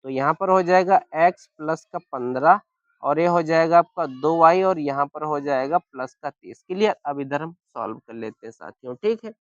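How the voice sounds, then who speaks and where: A man with a sore, hoarse throat teaching a maths lesson step by step, often asking if everyone is following. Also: a little high, no room sound, highs cut off. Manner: keeps asking "clear?" and "any problem?".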